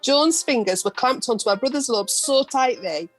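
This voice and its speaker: A woman's voice: high voice, lively intonation, moderate pace. A woman acting a shameless, bawdy storyteller in an amateur radio play, theatrical and boastful. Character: Nancy, brazen, bawdy, shameless female storyteller. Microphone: video call from home. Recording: home video-call recording with music.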